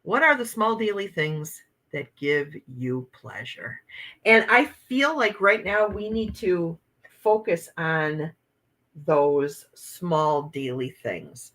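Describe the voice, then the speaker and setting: A woman's voice: deep voice, expressive, slow pace, a bit bright. A woman in her fifties hosting a casual livestream, chatting and laughing as she reads out viewer comments. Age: 50s. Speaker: livestream host.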